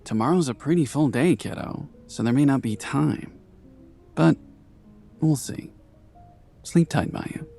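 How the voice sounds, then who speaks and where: An actor playing a gentle, soft-spoken older grandfather in an animated comic drama, talking without hurry. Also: mid-range voice, a little slow, creaky, long pauses, faint music behind. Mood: gentle, soothing. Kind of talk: animated motion-comic voice acting.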